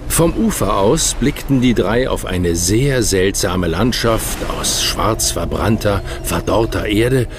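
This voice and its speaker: A man narrating a children's adventure audio drama in a dramatic, expressive way. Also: on the low side, takes it slowly, hardly any pauses, over music. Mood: suspenseful telling. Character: storyteller of a children's adventure tale. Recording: clear ambient noise behind narration.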